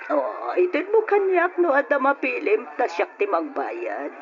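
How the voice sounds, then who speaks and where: Coaxing, thrifty middle-aged Ilocano aunt, unhurried and hesitant, muffled radio drama sound. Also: female voice, fairly high pitch, wildly swooping pitch, background noise. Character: coaxing, penny-pinching middle-aged aunt shopping with nephew.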